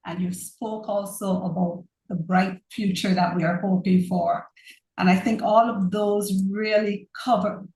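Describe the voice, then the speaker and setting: A woman with a Barbadian accent presents over a video call, speaking in an earnest, personal and measured way. Her voice sits in a middling register. The sound carries a noticeable roomy echo. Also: mid-range voice. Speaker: governance practitioner, presenter. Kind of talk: online presentation on corporate governance.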